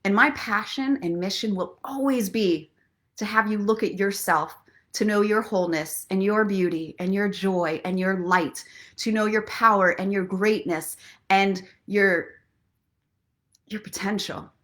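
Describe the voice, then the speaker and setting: A woman hosting a casual live online show, speaking in an expressive, earnest and encouraging way, with a playful streak. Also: mid-range voice, a little slow. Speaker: host of a live online story and inspiration show. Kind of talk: casual live chat mixed with guided relaxation and motivational talk.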